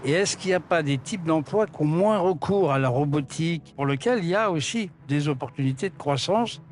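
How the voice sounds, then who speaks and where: A man's voice: fairly high pitch, lively intonation, a little fast. A man giving remarks in a documentary. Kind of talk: interview remarks in a documentary film.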